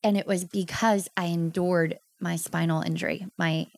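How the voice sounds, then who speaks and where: A woman in her thirties talks candidly as a podcast guest, earnest and heartfelt. Her voice sits a little low with a gravelly edge. The sound is close and dry, with no room around it. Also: fairly even intonation, normal speed, dry recording. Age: 30s. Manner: earnest, heartfelt storytelling with emotional emphasis. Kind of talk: candid personal interview.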